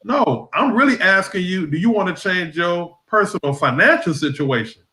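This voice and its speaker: A man explaining his point in a measured, conversational way as a guest on a video call. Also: high voice, expressive, bright, forceful tone. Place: home room on video call. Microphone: webcam or laptop mic on video call. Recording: echoey room.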